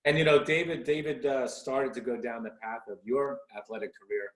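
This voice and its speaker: A man's voice: medium pitch, steady tone, fairly quick, fairly bright tone, roomy sound, low-quality recording. A man hosting a podcast interview and chatting enthusiastically with his guests. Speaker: podcast host and coach. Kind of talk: casual interview chat.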